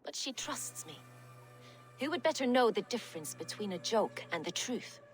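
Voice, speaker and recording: An actress playing a wry, frank Viking smuggler and fighter in a video game cutscene. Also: female voice, a little high, sing-song, normal speed, soft background music. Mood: brash, wry.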